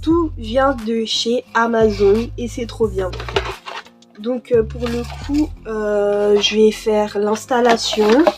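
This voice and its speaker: A woman's voice: on the high side, slow pace, music in the background. A young woman chatting casually in a home vlog, full of hesitations and fillers while she does her nails. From born in Cameroon, raised in France. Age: young adult. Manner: hesitant, many fillers and restarts. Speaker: YouTuber. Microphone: desk mic.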